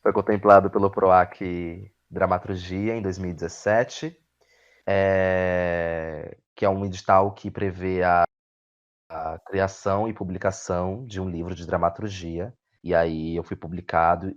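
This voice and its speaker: A Brazilian man with a fairly low voice talks as an interview guest. He speaks in a reflective, halting way, checking in with small tag questions. The audio is somewhat dull and lo-fi. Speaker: guest playwright and actor. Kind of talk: conversational interview answers about own work.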